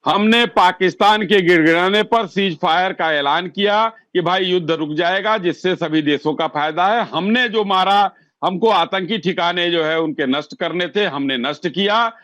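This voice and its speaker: A man's voice: high-pitched, normal speed, fairly bright tone. A man arguing insistently as a party spokesman on a political TV debate. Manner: insistent, combative.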